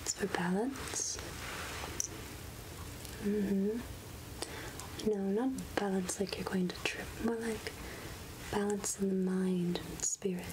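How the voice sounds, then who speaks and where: A woman voicing a friendly, centuries-old witch of the woods in a soft-spoken ASMR roleplay, gentle and musing in a breathy whisper. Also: mid-range voice, steady tone, background noise, lightly acted. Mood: gentle, helpful. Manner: slow, gentle, musing, archly addressing a mortal. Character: three-hundred-year-old witch of the woods, friendly, wryly curious.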